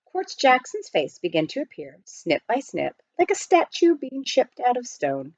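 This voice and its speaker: A woman reading a children's novel aloud as an expressive storyteller. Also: fairly high pitch, unhurried, no room sound, highs cut off. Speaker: storybook reader.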